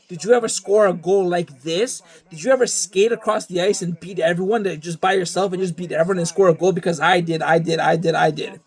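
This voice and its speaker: A young man talks in a loose, rambling monologue, swearing freely and circling back over the same phrases. His voice sits a little high for a man. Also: a little mellow. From Canada. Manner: profane, rambling, repeats himself. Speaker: vlogger. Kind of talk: casual monologue.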